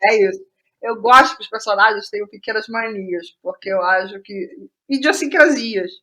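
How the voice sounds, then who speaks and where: A Brazilian woman speaking casually as an interview guest, telling stories in an animated way and thinking aloud with rhetorical asides. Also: mid-range voice, normal speed, rough recording. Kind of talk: casual conversational interview about writing process.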